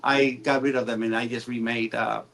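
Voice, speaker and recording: A man's voice: mid-range voice, flat delivery, a little fast, creaky, fairly bright tone, slight background noise. A man joining a casual live stream as a guest, telling stories in a chatty, meandering way with fillers. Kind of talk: casual storytelling chat.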